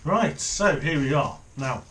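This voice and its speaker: A middle-aged man giving casual unboxing commentary, thinking aloud in an unhurried way as he handles things at a desk in a small room. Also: on the high side, very animated, a bit bright, slight background noise. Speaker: hobbyist reviewer. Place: small home office, indoors.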